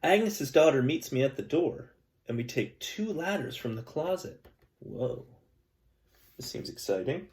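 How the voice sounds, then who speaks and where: A man, an elementary school teacher, reading a picture book aloud to his students with gentle, calm storytelling and chatty asides to the kids. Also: on the high side, unhurried.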